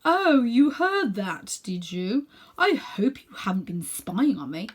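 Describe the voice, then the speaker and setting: Woman acting middle-aged gentleman magician, pompous, condescending, sinister, self-important, drawn-out phrasing, storybook villain. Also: on the high side, big pitch swings, husky, heavily acted. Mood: sly. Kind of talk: children's storybook character reading.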